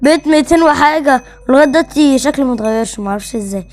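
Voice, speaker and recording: A child with an Egyptian accent chatting excitedly while playing a video game, playfully repeating phrases. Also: high voice, very fast. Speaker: gaming video co-host.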